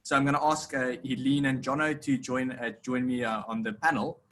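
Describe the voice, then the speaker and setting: A man's voice: mid-range voice, steady tone, a little fast, a bit bright. A man moderating an online conference panel, upbeat and full of restarts as he speaks, on a video call.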